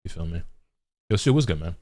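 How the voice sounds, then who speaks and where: A man's voice: low voice, lively intonation, fast, dark tone. A man streaming and chatting casually, laid-back and rambling, full of "like" and repeated words. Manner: laid-back, swears freely. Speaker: gaming streamer.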